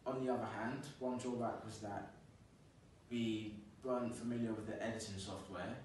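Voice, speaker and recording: A young man presents a class project in a steady, rehearsed way. His voice sits a little low. It is picked up by a distant camera in a bare, strongly echoing classroom with faint noise. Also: moderate pace. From London. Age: young adult. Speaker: student presenter. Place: classroom with a large screen. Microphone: far camera. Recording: echoey room, camera far off.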